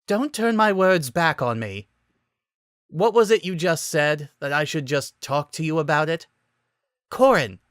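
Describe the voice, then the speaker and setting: A man's voice: fairly high pitch, lively intonation, unhurried, fairly bright tone, smooth, no echo, clean studio sound, voice pushed up. A man voicing a playful, teasing young woman in a dramatic reading of a romantic comedy story. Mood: embarrassed, huffy.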